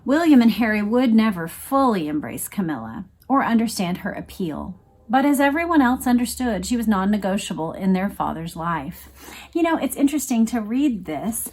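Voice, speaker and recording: A woman's voice: medium pitch, sing-song, moderate pace, darkish tone. A woman hosting a read-along, reading aloud and commenting in a conversational, emphatic way. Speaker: host of a read-along video series.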